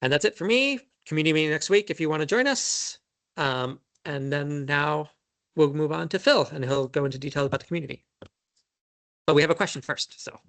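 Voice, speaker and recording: A man giving a conference talk on his software team's plans, in a loose conversational way with many fillers and restarts. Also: on the high side, brisk. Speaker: software team presenter. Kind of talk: conference presentation.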